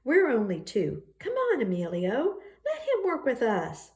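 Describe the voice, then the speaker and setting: A woman's voice: a little high, huge pitch swings, unhurried, a little mellow, voice pushed up, full character voice. A woman voicing a kind, friendly schoolboy in a children's storybook, earnest and encouraging. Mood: persuading.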